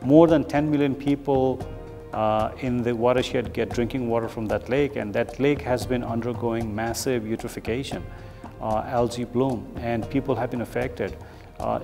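Middle-aged man from Nepal, earnest explainer with fillers, lapel mic, roomy hall, soft music under. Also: medium pitch. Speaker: research professor. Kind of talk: documentary interview. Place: large open office with windows.

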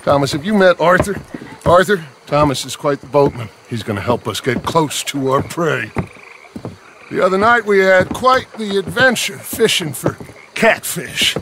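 Theatrical gravelly outlaw gang leader. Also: male voice, a little high, animated, moderate pace, background noise. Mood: warm, genial. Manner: theatrical, persuasive, speech-making. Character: charismatic middle-aged male outlaw gang leader, persuasive and mocking. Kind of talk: dramatic video game acting.

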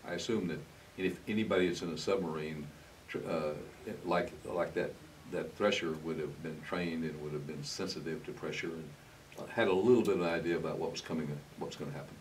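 A man's voice: rumbling low, fairly quick. An older man hosting a conversational television interview, informal and searching for his words. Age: older, 60s-70s. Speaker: interviewer, host. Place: indoor TV studio, green screen. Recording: slightly distant mic, faint hiss.

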